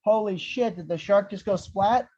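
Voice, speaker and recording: A man's voice: high voice, normal speed, warm tone, breathy edge. A man narrating action descriptions at a script table read, with irreverent, wry asides. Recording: dull, lo-fi audio.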